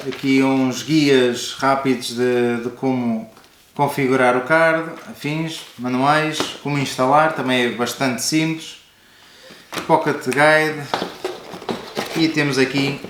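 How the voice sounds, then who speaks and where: A man's voice: medium pitch, a bit bright, slight background noise. A man with a European Portuguese accent giving a casual, explanatory product review, talking directly to the viewer. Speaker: product review video host. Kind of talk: casual product unboxing and review talk to camera. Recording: echoey, roomy indoor sound.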